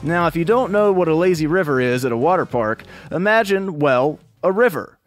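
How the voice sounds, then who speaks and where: A man's voice: a little high, expressive, brisk. An American man telling a scripted children's story in a conversational, explanatory way. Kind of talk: scripted storytelling narration for kids. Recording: music bed behind narration. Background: music.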